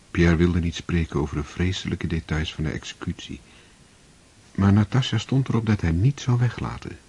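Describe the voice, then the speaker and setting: Man narrating a story, deep voice, really raspy, dull lo-fi sound. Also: a little mellow. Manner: measured, literary. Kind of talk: scripted narration. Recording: dull lo-fi recording.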